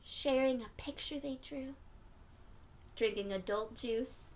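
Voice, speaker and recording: Lady with a high voice doing a slow kids storybook read-aloud, encouraging and expressive, bit of background noise. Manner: encouraging, expressive, asks listeners questions and pauses for answers. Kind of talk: picture book read-aloud to young children.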